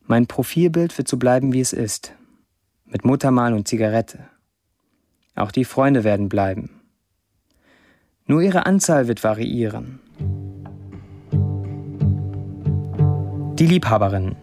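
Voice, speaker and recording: A young Syrian writer in a radio play, speaking in intimate, morbidly candid monologue. His pitch sits in the middle for a man, and soft music plays behind him. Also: lively intonation, unhurried, pauses often. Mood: wry, dark. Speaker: lead actor reading a writer's status posts. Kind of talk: first-person literary monologue in radio drama.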